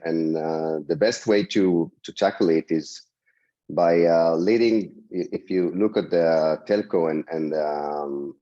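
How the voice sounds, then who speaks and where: Croaky guy on a video call panel, deep dark voice, lots of uhs, dull sound. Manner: hesitant, many fillers and restarts. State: hoarse from a cold. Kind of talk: panel discussion answer.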